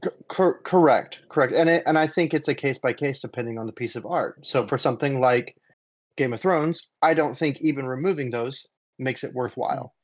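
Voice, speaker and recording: A man in a casual debate, making his points in a deliberate, halting, questioning way over dull, lo-fi audio. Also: mid-range voice, no room sound.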